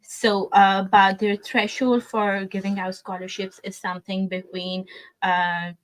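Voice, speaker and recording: A woman's voice: mid-range voice, little pitch movement, unhurried, low-quality recording. Pakistani woman, hesitant, lots of "uh"s. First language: not English. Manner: soft-spoken with frequent "uh" hesitations. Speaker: guest. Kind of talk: casual interview.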